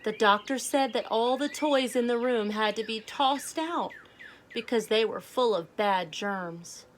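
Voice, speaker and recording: A woman reading a storybook aloud to children, speaking in a deliberate, simple way. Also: fairly high pitch, slight background noise. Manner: deliberate, simple phrasing addressed to young children. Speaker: children's read-aloud host.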